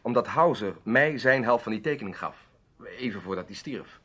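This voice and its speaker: A man's voice: mid-range voice, animated, moderate pace, sharp tone, a little hiss or hum. An actor playing an earnest, bold adventurer telling his story emphatically in a Dutch radio drama.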